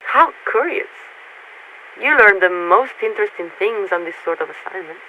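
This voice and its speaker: A woman playing a poised, politely bureaucratic military signaler in a video game, speaking formally and in measured phrases through a radio filter. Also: mid-range voice, animated, sharp tone. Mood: curious, courteous. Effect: radio transmission filter. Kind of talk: scripted video game character dialogue. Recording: narrow radio band with static noise.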